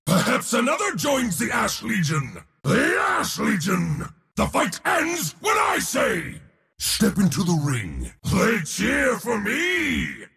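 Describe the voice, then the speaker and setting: A man playing an arrogant, boisterous war god in a video game, speaking in a bombastic, boastful way with a gravelly, raspy voice. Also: very high-pitched, wildly swooping pitch, takes it slowly, sharp tone, shouting, long reverb tail, heavily acted. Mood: boastful roaring. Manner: bombastic, boastful, theatrical delivery. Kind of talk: video game hero voice lines.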